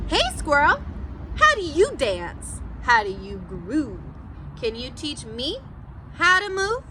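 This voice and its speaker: A groovy young male cat from a children's storybook, played by a woman, earnest and upbeat. The voice sits high and swings widely, stretching out the rhythmic lines. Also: wildly swooping pitch, slow, noisy background, heavily acted. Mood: eager. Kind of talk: storybook read-aloud for children.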